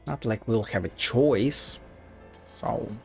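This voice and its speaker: A man's voice: on the low side, slow, creaky, darkish tone. A man giving casual, blunt playthrough commentary as a game streamer, musing offhand and swearing. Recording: game music behind the voice.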